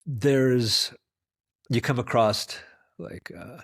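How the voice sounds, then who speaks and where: A man's voice: medium pitch, drawn-out words, husky, no room sound. A man from the United States hosting an interview. He talks thoughtfully and muses at length with rambling asides. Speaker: host. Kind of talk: conversational interview. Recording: dry close recording.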